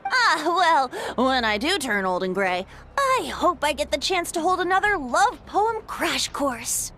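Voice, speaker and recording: A woman's voice: high voice, very animated, measured pace, sharp tone, a little hiss or hum, heavily acted. A woman playing a playful, whimsical young male bard in an anime game, with a lilting, theatrical and poetic delivery. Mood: fond, nostalgic.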